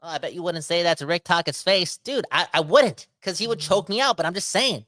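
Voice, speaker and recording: Bright, rambling man in his thirties. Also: sits high, brisk, dry recording, clean studio sound. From Canada. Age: 30s. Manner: animated, rambling, slangy, talks straight to the audience. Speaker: podcast host reading live chat comments. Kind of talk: casual livestream rant.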